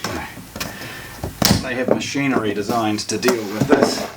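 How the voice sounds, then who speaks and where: A man gives casual, unscripted unboxing commentary, halting and matter-of-fact as he thinks aloud while handling things. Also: fairly low pitch. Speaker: presenter of a hi-fi shop's unboxing video. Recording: distant mic, noisy room with handling clatter.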